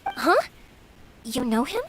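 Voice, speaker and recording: Young female fireworks maker: warm-hearted, casual video game character with a high, swooping voice. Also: takes it slowly, noisy background, heavily acted. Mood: hesitant. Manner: animated, casual, earnest.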